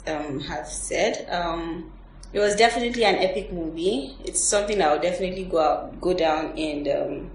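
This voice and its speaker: A woman hosting a movie review podcast, talking to her guests and sharing her own views. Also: deep voice. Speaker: podcast host. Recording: roomy, distant mic, background noise.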